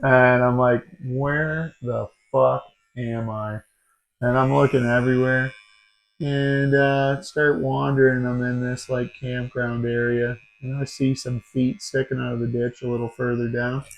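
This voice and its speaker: Man, forties, podcast host, casual chat, swearing, animated storyteller, medium pitch. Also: unhurried, gravelly, rough recording. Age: 40s. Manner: swearing, animated storytelling, says dude a lot.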